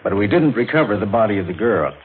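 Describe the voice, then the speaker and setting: An actor playing a matter-of-fact, folksy American state police officer with easygoing authority in a 1940s radio drama. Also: male voice, on the low side, very animated, fast talker, slightly rough, exaggerated voice. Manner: plain-spoken, easygoing authority. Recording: tinny, noisy old radio recording.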